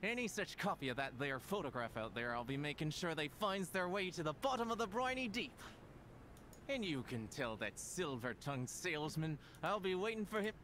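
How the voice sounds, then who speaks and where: A woman's voice: low voice, animated, normal speed, fairly bright tone, a little hiss or hum, voice pushed down. A woman voicing an old male pirate tourist, talking in hammy, archaic sea-dog speech. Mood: gruff, theatrical.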